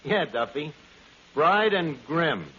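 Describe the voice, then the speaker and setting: An actor playing a brash, scheming tavern manager in a 1940s radio comedy, with a hesitant, wisecracking telephone drawl. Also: male voice, fairly high pitch, very animated, slow. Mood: breezy, wisecracking. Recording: dull, noisy vintage radio recording.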